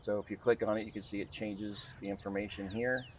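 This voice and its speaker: Low-voiced man giving an informal software walkthrough. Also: fairly even intonation, slight background noise. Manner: informal, halting step-by-step instruction. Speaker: software tutorial presenter. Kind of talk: unscripted screen-recorded walkthrough.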